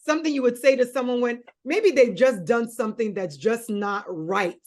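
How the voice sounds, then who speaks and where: A woman's voice: a little high, moderate pace, a little mellow. A woman hosting an interview show, coaxing her guest through a guessing game with patient hints. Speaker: show host.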